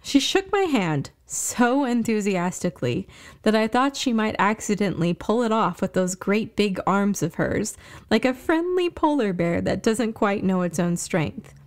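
A woman's voice: medium pitch, lively intonation, a little slow. A woman reading scripted narration as the host and storyteller of a fiction podcast.

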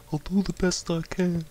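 A young man joking around and laughing often while playing a survival game with teammates over voice chat. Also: high-pitched. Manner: goofy, jokey, laughs often. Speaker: gamer teammate. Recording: loud background noise with clicks.

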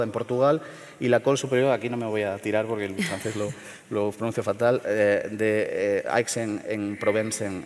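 A middle-aged man from León in Spain, speaking at a panel forum in a measured, hesitant way with many fillers and restarts. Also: medium pitch, a little mellow, big-room sound. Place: large theater stage.

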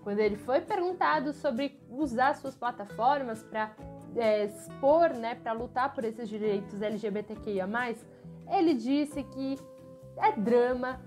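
A young woman with a Brazilian accent hosting a motorsport channel, giving emphatic, expressive news commentary to camera from a room at home. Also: on the high side, a little slow, faint music behind. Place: small furnished room at home, indoors.

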